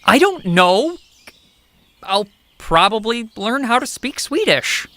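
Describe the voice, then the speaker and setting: A woman's voice: fairly high pitch, sing-song, slow, a bit bright, no room sound, heavily acted. A woman playing a dry, practical young royal in a comic stage-style audio drama. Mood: resigned.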